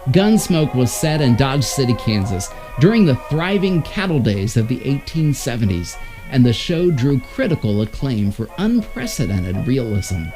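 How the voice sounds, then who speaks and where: Animated male host narrating over music. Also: medium pitch, moderate pace. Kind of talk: scripted narration.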